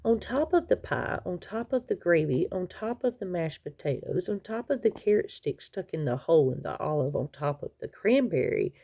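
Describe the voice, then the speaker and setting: A woman reading a children's picture book aloud expressively, with dramatic, drawn-out emphasis on key words. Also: on the low side, lively intonation, warm tone. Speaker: children's story reader.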